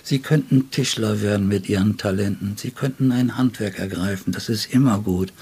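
An older man, a writer, reminisces in an interview in a deliberate, anecdotal way with long, detailed sentences. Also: lowish voice, darkish tone, slight background noise. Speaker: writer and literary editor being interviewed.

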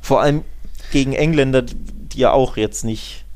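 A man's voice: mid-range voice, normal speed, a little mellow. A man co-hosting a football podcast, chatting casually in an opinionated, emphatic and colloquial way, building his points through repetition.

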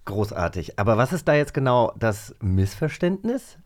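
A man's voice: mid-range voice, big pitch swings, normal speed, a little mellow. A man in his forties chatting casually and enthusiastically as a podcast co-host. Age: 40s.

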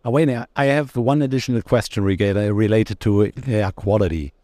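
A man with a low voice co-hosts a podcast interview. He speaks warmly, paying compliments and making jokes, and the sound is close and dry. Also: normal speed, dry recording. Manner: warm, complimentary, joking. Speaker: podcast co-host. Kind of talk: conversational interview.

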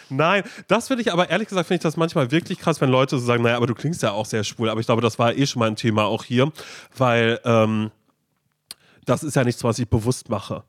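A man's voice: mid-range voice, brisk, no echo. Man, stuffy nose from a cold, nasal, rambling and jokey, dry mic. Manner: joking, rambling, laughs often. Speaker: podcast co-host. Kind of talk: casual chat.

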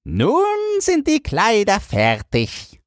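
A man voicing a sly, flattering swindler tailor in a fairy tale, with fawning, drawn-out showy praise and a husky voice. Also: sits high, no room sound, studio quality, pitched above the performer's own voice, heavily acted. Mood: gleeful boasting. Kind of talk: fairy-tale audiobook character voice.

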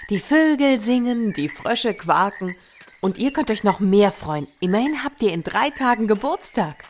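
A woman playing a patient, practical good fairy with gentle scepticism in a fairy-tale radio play. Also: mid-range voice, expressive, moderate pace, a little hiss or hum, lightly acted. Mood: encouraging. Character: sensible, patient good fairy companion to a princess.

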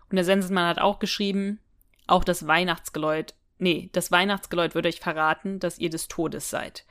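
A woman co-hosting a podcast in a casual chat, recapping a story and speculating aloud with frequent tag questions. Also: on the low side. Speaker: podcast co-host. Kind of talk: casual chat recapping and discussing an audio drama.

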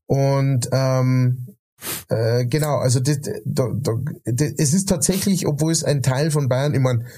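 A man with a Bavarian accent talks loosely on a podcast, his voice mellow and a little dark. He wanders through his points with plenty of hesitations and self-corrections. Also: mid-range voice, unhurried, soft background music. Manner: rambling, many fillers and restarts. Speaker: podcast co-host. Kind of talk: casual chat.

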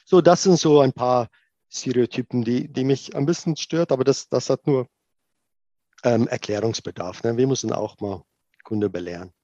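A man's voice: mid-range voice, moderate pace, dry recording, dull audio. Man, Afrikaans accent, native Afrikaans speaker, many restarts, dry close recording. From South Africa. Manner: animated, many restarts and fillers, slips into English. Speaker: tour operator guest. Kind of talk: conversational interview answers.

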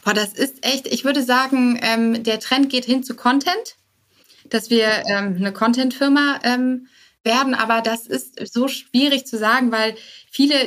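A woman's voice: fairly high pitch, a bit bright. A woman speaking as a podcast interview guest and start-up founder, thinking aloud and often correcting herself mid-sentence.

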